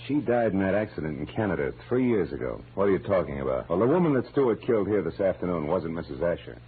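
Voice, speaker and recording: Wisecracking private detective, 1940s radio. Low, animated, sardonic, on thin vintage broadcast sound. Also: male voice, quick pace, husky, exaggerated voice. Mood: wry, explaining. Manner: wry, sardonic, slangy. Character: wisecracking hard-boiled male private detective. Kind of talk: 1940s radio detective drama acting and narration. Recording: tinny, band-limited old radio recording.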